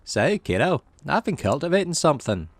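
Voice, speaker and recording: A man's voice: on the high side, very animated, brisk, no room sound, voice pushed up. A man voicing a jovial, teasing middle-aged dad from a story video game. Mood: playful, cheery. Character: playful, easygoing middle-aged dad, a colonist farmer. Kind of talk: reading game dialogue in character.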